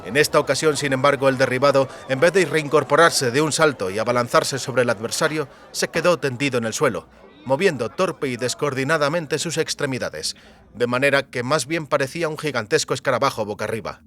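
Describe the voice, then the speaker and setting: A man's voice: medium pitch, a little fast, a bit bright. A man reading scripted narration for a fantasy audiobook.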